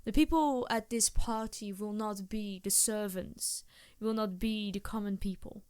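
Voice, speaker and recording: Woman as scheming succubus ally for intimate ASMR roleplay: soft-spoken, seductive, unhurried, very close and dry sound. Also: mid-range voice, steady tone, smooth, no echo, close to an everyday voice. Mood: earnest, disapproving. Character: scheming female succubus demon, seductive, reluctant but loyal ally.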